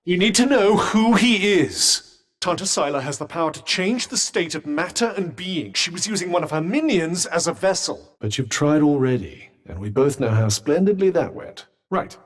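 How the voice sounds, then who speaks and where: A snooty sentient magic armband from a video game, droll n sardonic, pompous, voice swoops up and down a lot. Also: male voice, fairly high pitch, expressive, moderate pace, in a large hall. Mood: dry, knowing. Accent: British. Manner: pompous, droll, erudite wording. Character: talking magical vambrace, male, witty, haughty, sardonic companion. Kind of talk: video game character dialogue.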